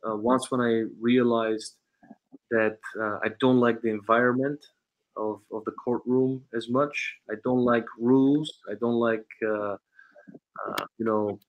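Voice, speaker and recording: Interview guest: Bulgarian-accented man in his thirties, earnest, pauses to find words. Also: medium pitch, fairly even intonation, phone-quality sound. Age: 30s. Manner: earnest, searching for words with many ums. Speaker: guest, sales trainer and consultant. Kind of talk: casual interview talk.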